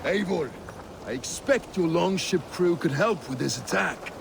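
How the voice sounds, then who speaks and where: An actor voicing a scornful Dane warrior in a video game, demanding and theatrical. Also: male voice, sits high, lively intonation, a little slow, exaggerated voice. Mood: stern, advising. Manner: jeering, theatrical. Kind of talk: dramatic video game acting. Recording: clearly noisy game background ambience.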